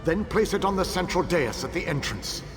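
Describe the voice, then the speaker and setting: A man's voice: high-pitched, lively intonation. A proud ancient elven king. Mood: commanding. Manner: measured, commanding, formal. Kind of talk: video game voice acting. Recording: long hall reverb with loud ambience.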